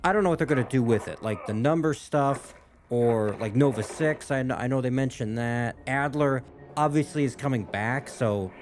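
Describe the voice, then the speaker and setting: Guy doing chill rambling reaction commentary, average pitch, bit of noise behind him. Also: moderate pace. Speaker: YouTuber reacting to game cutscenes.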